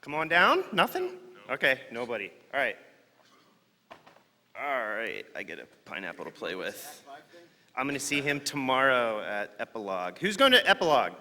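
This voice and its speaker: An upbeat man with a bright, lively voice reads out prize winners and jokes with the audience. His voice rings through a large conference hall with a long reverb. A crowd murmurs faintly behind him. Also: medium pitch, moderate pace. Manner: upbeat, joking, calls out to the crowd. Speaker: contest organizer presenting prizes. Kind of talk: announcing winners on stage.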